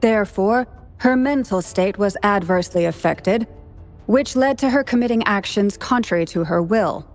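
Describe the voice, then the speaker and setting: Brief: woman playing an authoritative game judge, measured and formal, faint music behind. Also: medium pitch, close to an everyday voice. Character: composed female judge presiding over a hearing. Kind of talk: video game voice acting.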